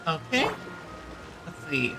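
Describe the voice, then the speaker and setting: A man's voice: fairly high pitch, big pitch swings, slow, over music. A man doing casual live gameplay commentary as a streamer, often muttering little asides to himself. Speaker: game streamer. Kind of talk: casual live gameplay commentary, reading some text aloud.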